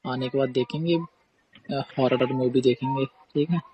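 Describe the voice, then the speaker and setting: A man's voice: medium pitch, fairly even intonation, moderate pace, muffled recording. Man chatting, slight noise. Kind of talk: casual phone chat. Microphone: phone call recording. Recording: faint background noise on call recording.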